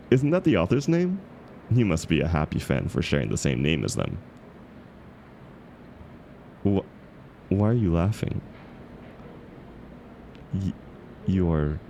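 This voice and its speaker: A man's voice: sits low, creaky, warm tone, a little hiss or hum, exaggerated voice. A man playing an awkward, bashful book club member in an intimate scripted roleplay, speaking hesitantly with stammers and self-deprecation. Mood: shy, hopeful, then flustered, mortified. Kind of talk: scripted romantic audio roleplay, intimate one-sided dialogue.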